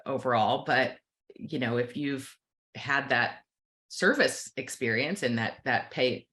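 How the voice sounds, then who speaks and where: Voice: mid-range voice, a bit bright. An optometrist with an American accent who owns a practice, explaining things earnestly in a conversational interview. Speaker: optometrist and practice owner, podcast guest.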